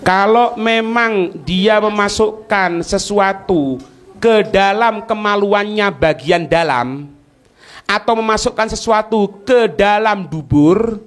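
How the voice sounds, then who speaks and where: A man with a Javanese accent and a somewhat high-pitched voice gives religious instruction. He speaks colloquially and didactically, often asking a question before answering it and checking that he is understood. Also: slow, a bit bright. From East Java, Indonesia. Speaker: Islamic teacher answering listeners' questions.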